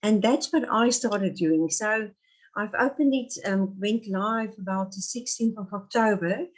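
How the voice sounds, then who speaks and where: A woman's voice: mid-range voice, unhurried, poor audio. A woman in her fifties with an Afrikaans accent, a native Afrikaans speaker, talking earnestly as an interview guest, with many restarts and fillers. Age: 50s. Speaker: guest, therapist. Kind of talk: casual interview chat.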